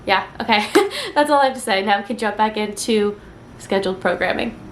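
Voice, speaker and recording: A woman's voice: medium pitch, very animated, a little fast, roomy sound. A young woman vlogs about her recent reading, her voice stuffed up and nasal from congestion. She rambles and gushes, full of fillers and likes. The room around her rings a little, with a faint hum of noise. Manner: chatty, gushing, many ums and likes. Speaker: book vlogger. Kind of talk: casual book review chat.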